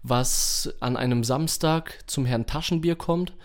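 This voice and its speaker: A man's voice: medium pitch, measured pace. A man chatting informally as a podcast co-host. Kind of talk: casual chat.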